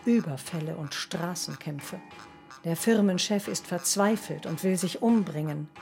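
A woman's voice: fairly low pitch, measured pace, creaky, a little mellow. A woman narrating a scripted documentary radio play, reading a synopsis in short declarative sentences. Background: music behind the voice.

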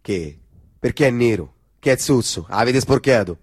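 A crude Roman tough guy in a stage play. He has a middling male pitch and speaks in blunt, mocking dialect with a Roman accent, needling whoever he talks to. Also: animated, moderate pace, husky. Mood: blunt, teasing. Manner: coarse, blunt, teasing.

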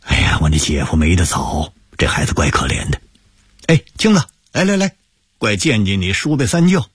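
Male narrator as fussy relative; husky, jumpy pitch, impatient; dry close mic. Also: huge pitch swings, a little fast, dry recording, exaggerated voice. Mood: explaining. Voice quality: husky, fussy, swinging from high to low.

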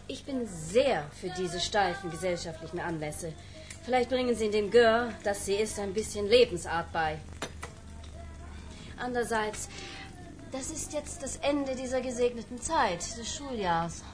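An actress as a pretentious middle-aged mother who puts on airs as a hostess. Her manner is effusive and affected, in radio play style. Music plays clearly behind her. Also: mid-range voice, animated, unhurried, natural voice. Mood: nagging, complaining.

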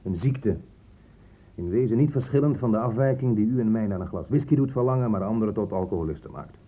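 An actor playing a gruff, hard-line police inspector in a radio drama, blunt and sarcastic, with emphatic delivery. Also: male voice, fairly low pitch, expressive, fast talker, phone-quality sound. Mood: stern, scornful.

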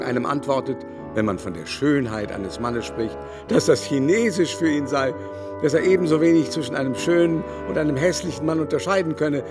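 An actor playing a haughty, worldly baron in a German radio drama, lecturing scornfully and emphatically at length. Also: male voice, on the high side, natural voice. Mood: scornful, impatient. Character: haughty, worldly aristocratic baron, impatient know-it-all. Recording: music behind the voice.

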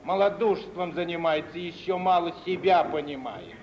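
An actor playing a stocky middle-aged café keeper in a radio drama, knowing and sententious, speaking in old folksy Russian vernacular. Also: male voice, a little high, steady tone, moderate pace, close to an everyday voice. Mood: knowing, explaining. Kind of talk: mid-century Russian radio theatre acting. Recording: dull hissy 1950s radio-play recording, long reverb.

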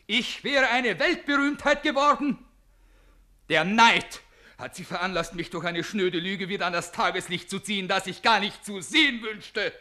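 An actor playing a vain, self-important, scornful Russian gentleman in a theatrical radio play. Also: sits high, normal speed. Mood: scornful outrage.

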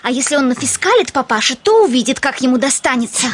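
A woman's voice: sits high, animated, quick pace, background noise. An actress playing a willful, girlish young lady in a Russian radio drama, imperious yet coaxing, emphatic and theatrical. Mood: indignant, determined.

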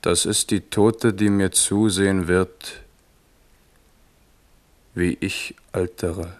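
An actor in a German radio drama playing a grieving, remorseful French king, speaking gravely and with measured, brooding weight. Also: low-pitched, fairly even intonation. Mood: remorseful grief.

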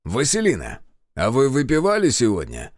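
A man playing a calm, wry Soviet militia major who speaks in a dry, measured way with a hint of irony. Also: medium pitch, dry recording. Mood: questioning. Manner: dry, measured, slightly ironic.

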